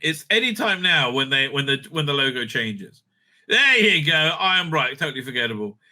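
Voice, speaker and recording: A man's voice: a little high, big pitch swings, normal speed, sharp tone. A man giving rambling, opinionated commentary as a video host, blunt and dismissive, often repeating himself and swearing. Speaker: YouTube host and reviewer.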